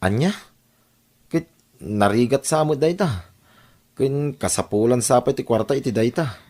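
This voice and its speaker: A man's voice: medium pitch, moderate pace, a little mellow, close to an everyday voice. A man with a Filipino accent playing a hard-up, caring husband and father in an audio drama. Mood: concerned. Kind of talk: Ilocano audio drama acting.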